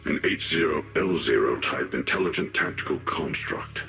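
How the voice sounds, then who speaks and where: An actor playing a grave, courteous martial master in a video game, speaking formally and deliberately. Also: male voice, very deep voice, animated, bright, forceful tone, soft background music, heavily acted. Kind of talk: scripted video game voice acting.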